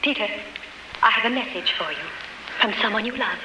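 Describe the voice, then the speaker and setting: A woman's voice: fairly high pitch, lively intonation, fairly quick, lightly acted. An actress playing a kindly, earnest woman consoling a prisoner, in theatrical 1930s radio drama style. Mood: tender, earnest. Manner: theatrical, tender. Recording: noisy, tinny old radio recording.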